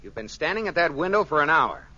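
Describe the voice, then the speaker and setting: An actor playing an exasperated, scolding but fond young husband in old-time radio comedy. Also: male voice, on the high side, sing-song, quick pace, bright, forceful tone, exaggerated voice. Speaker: co-star in a radio sitcom. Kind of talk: 1940s radio comedy acting. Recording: dull old radio recording.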